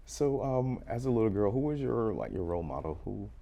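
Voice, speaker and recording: A woman hosting a podcast interview, relaxed and curious, asking questions and laughing along with her guest. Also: rumbling low, a little fast, vocal fry, lo-fi sound. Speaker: podcast host.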